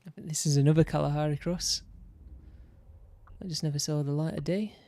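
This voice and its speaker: A man's voice: fairly high pitch, fairly even intonation, fairly quick, warm tone. A man streaming a game, losing his voice, rambling and interrupting himself as he shows off his own builds. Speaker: gaming streamer. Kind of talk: casual commentary while showing his game builds.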